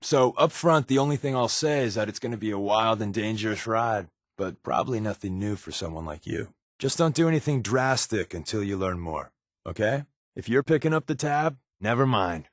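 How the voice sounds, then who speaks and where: An actor playing a sly, irreverent covert resistance agent in a video game, with an American accent and a wry, casual, sardonic way of talking. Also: male voice, lowish voice, animated, moderate pace, no echo, clean studio sound, natural voice. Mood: persuasive, briefing. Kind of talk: video game character dialogue, conversational.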